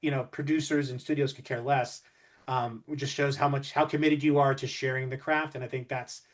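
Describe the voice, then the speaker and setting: A man's voice: medium pitch, level tone, fairly quick. A man hosting an interview conversationally, talking in long, complimentary sentences. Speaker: interview host.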